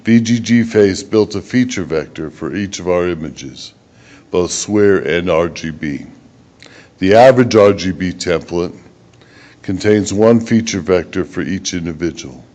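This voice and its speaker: Very deep-voiced man presenting research. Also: expressive, a little slow, a little mellow, dull audio. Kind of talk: technical conference presentation.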